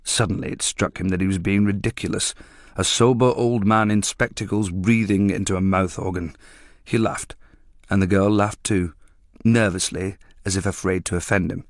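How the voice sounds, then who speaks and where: A man's voice: low voice, fairly even intonation, normal speed, no room sound, studio quality. A man reading a literary short story as a scripted narration.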